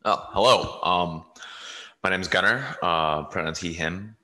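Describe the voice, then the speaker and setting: A man's voice: low-pitched, unhurried, sharp tone. A young man from Colorado on a headset, bashful and self-deprecating while introducing himself. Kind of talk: casual self-introduction on a video call.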